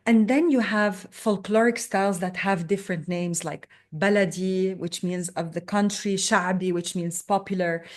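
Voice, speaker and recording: A woman in her thirties from Lebanon, a belly dance teacher speaking as an interview guest, explaining things reflectively with many pauses. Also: medium pitch. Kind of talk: conversational interview.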